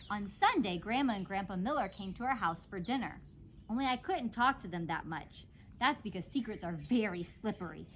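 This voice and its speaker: A woman's voice: medium pitch, a bit bright, a little hiss or hum. A woman narrating a children's storybook as a teacher would, expressively and dramatically, in a childlike first person. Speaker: teacher reading aloud to children.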